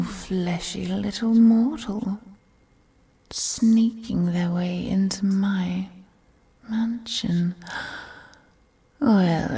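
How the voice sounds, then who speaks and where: A woman voicing a wealthy, possessive vampire in an audio roleplay, breathy and often whispering, with a teasing, haughty and condescending manner. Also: mid-range voice, dark tone, full character voice. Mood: intrigued, teasing. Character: wealthy, seductive, possessive female vampire toying with captive. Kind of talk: scripted audio roleplay monologue.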